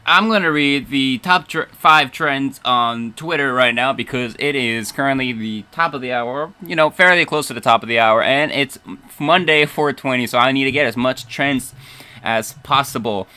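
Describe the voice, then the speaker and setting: A man's voice: medium pitch, normal speed, pushed and bright, clear, resonant tone. A man hosting a casual livestream radio show as DJ, playful and rambling, with emphatic announcing.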